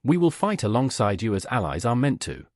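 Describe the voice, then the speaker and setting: A man's voice: medium pitch, very animated, brisk, a little mellow, no echo, studio quality, natural voice. A man voicing a steadfast, courteous human warship officer in a story, speaking in formal military phrases. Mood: loyal, defiant. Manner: formal military radio speech.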